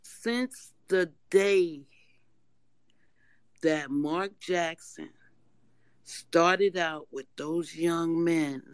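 A hoarse woman calling in to a live fan audio room, talking loud and emphatic, with some swearing. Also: low voice, no echo. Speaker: caller. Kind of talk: casual fan chat.

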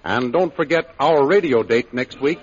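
A man's voice: mid-range voice, normal speed. A man announcing and narrating in a dramatic 1930s radio style, emphatic and showmanlike. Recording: muffled 1930s radio recording. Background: music.

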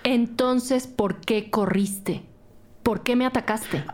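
An actress playing a tough, sceptical police detective, stern and accusing, in a crime audio drama. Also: female voice, a little high, slow pace.

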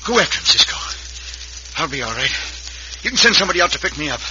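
Sharp-voiced stoic lawman, dull recording. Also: fairly high pitch, sing-song, fast, full character voice. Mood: strained. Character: stranded, stoic Western lawman urging pursuit. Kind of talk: old-time radio western acting. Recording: dull, faintly noisy old radio recording.

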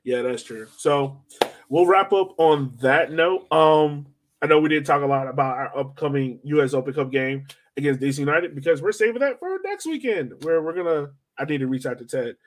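A man's voice: on the high side, animated, moderate pace. A young man hosting a sports podcast in an informal, slangy, chatty way. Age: young adult. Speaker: podcast host. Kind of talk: casual sports podcast chat.

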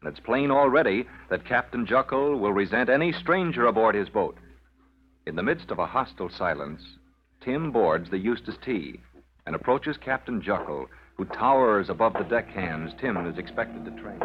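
A man's voice: on the low side, animated, moderate pace. A man narrating an old-time radio serial as its announcer, in a dramatic, formal scripted style. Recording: dull, noisy old radio recording.

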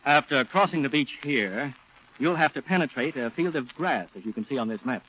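An actor playing a polite, knowledgeable civilian plantation man explaining things in a measured way in a 1940s radio drama. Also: medium pitch, lively intonation, breathy edge. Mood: measured, helpful. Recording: dull old radio recording.

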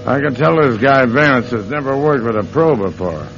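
Brash, lively wisecracking American crook. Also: male voice, medium pitch, moderate pace, gravelly, soft background music. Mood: confident storytelling. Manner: slangy, brash, bossy. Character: cocky veteran con man and thief, wisecracking. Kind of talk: old-time radio crime drama acting and narration. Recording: muffled, hissy old radio broadcast.

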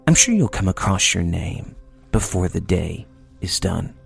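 A man voicing a kind, sniffly aardvark in a storybook read-aloud, speaking gently. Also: deep voice, very animated, unhurried, gravelly, soft background music, exaggerated voice. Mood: kindly. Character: sniffly kindly aardvark, helpful.

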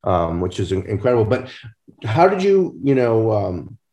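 Low-ish guy host, chatty questions, echoey room sound. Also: big pitch swings, mellow. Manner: conversational, halting questions. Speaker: interview host. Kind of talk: casual interview conversation. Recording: roomy echo.

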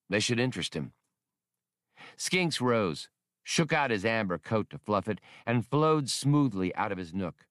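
A man's voice: fairly low pitch, fairly bright tone, no room sound, studio quality. A man reading a scripted adventure novel as an audiobook narrator.

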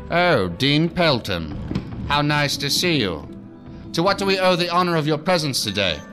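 A pompous, high-voiced professor. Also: male voice, very animated, moderate pace. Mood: effusive. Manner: pompous, florid, theatrical. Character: pompous, theatrical male university professor, cynical about humankind. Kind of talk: audio drama acting, lecturing. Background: faint music.

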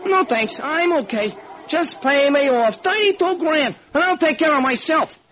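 A man's voice: very high voice, animated, normal speed, a bit bright, pitched above the performer's own voice. A man voicing a small, shifty, crafty gambler in an old-time radio crime drama. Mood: nervous, firm.

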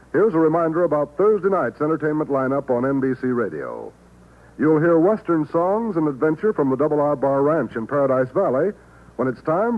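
Tinny, animated American male radio announcer. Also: a little high. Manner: dramatic, emphatic. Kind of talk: 1950s radio announcing, scripted. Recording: tinny, narrow-band old radio broadcast.

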